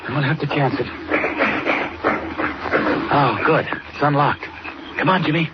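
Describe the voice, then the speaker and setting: An actor with an American accent playing a brisk, resolute investigator hero in a 1940s radio serial, urgent and authoritative. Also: male voice, a little high, lightly acted. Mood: intent. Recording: muffled, very noisy old radio recording.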